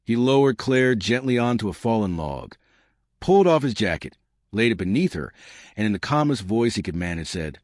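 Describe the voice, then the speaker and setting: A man's voice: fairly low pitch, sing-song, normal speed, a little mellow, no room sound, studio quality. A man narrating a scripted dramatic story as a suspenseful storyteller who speaks straight to the listener. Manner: suspenseful, addressing listeners directly.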